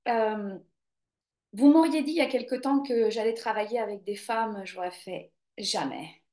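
A woman's voice: fairly high pitch, normal speed, dark tone. Chatty woman yoga teacher, roomy echo. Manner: chatty and spontaneous, many hesitations. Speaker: yoga teacher hosting a live stream. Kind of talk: casual live chat greeting viewers. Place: home near the coast.

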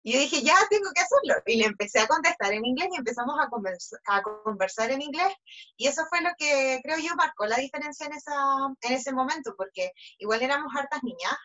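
A young woman with a Chilean accent, a pageant contestant telling her story in a lively, chatty way full of fillers. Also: sits high, brisk, highs cut off. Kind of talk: interview storytelling.

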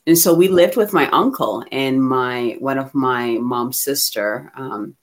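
A woman from Ghana talking candidly and reflectively about herself as a guest, in an expressive conversational way. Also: extremely low pitch, a little slow. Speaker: guest receiving a card reading.

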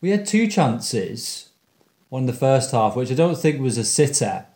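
A man's voice: mid-range voice, big pitch swings, a little slow, darkish tone. A man on a fan podcast, talking through a football match in an earnest, analytical way. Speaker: podcast co-host. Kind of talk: casual fan podcast discussion.

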